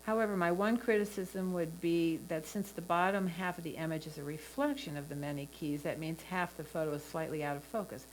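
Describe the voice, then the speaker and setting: Over faint noise and hum, a woman with a fairly low voice delivers a prepared critique of photographs. She speaks as a competition judge assessing each image. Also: a little fast, few pauses, low-quality recording.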